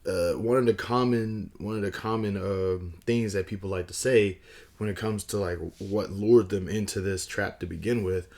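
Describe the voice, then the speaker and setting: Man, hoarse, very low voice, casual vlog, rambling, wry. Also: steady tone, moderate pace, darkish tone. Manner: rambling, frequent restarts and fillers; wry, conversational. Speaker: vlogger. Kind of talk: casual talking-head vlog.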